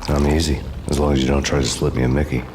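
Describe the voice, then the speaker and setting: An actor playing a brooding, cynical avenger, narrating a noir video game in a world-weary deadpan. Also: male voice, rumbling low, fast talker. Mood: wry. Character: brooding, cynical hard-boiled male avenger. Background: music.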